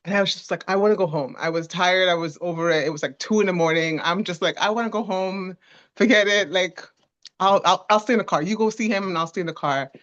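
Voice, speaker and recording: A woman in her forties from Canada, a guest telling a story in a casual chat, giggly and animated, often restarting her words. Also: fairly low pitch, fast, muffled recording. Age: 40s.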